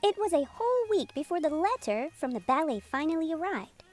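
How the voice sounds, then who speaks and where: A woman reading a children's picture book aloud as a storytime host, in an expressive, unhurried storytelling manner. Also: sits high. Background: music playing under the voice.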